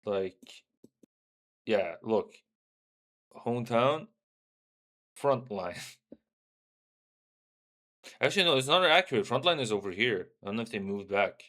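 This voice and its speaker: A man's voice: lowish voice, lively intonation, unhurried, long pauses, fairly bright tone. A young man from Syria, streaming, talking loosely and bluntly, swearing and often tagging "right?" onto his points. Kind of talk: casual livestream talk.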